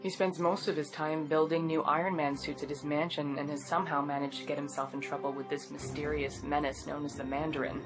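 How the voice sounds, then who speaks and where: A woman voicing a low, dry, skeptical agent. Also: little pitch movement, fairly quick, few pauses, natural voice, poor audio. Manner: dry, wary understatement. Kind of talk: scripted cutscene narration. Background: music.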